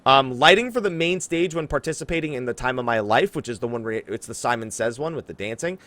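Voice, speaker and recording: A man hosting a casual gaming podcast, talking in an animated way and exaggerating for emphasis. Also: mid-range voice, fast, no echo. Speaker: podcast host. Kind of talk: casual game podcast chat.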